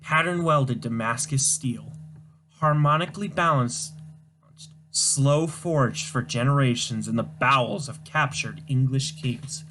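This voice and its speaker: A man reading a comic aloud, voicing a gruff Scottish demolitions man with a low burr. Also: a little slow, fairly bright tone, faint music behind, close to an everyday voice, rough recording.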